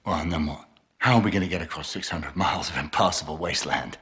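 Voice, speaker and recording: A bookish but needling adventurer in a video game. The voice is very deep and thoroughly husky. He speaks with sardonic, pointed emphasis. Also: fast talker, sharp tone, full character voice. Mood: skeptical. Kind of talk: naturalistic video game cutscene acting.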